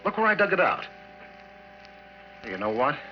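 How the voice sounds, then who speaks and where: An actor playing a blunt, excitable man who speaks emphatically and insistently in 1940s radio drama style. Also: fairly high pitch, moderate pace, slight background noise. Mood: excited. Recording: muffled old radio recording, faint music.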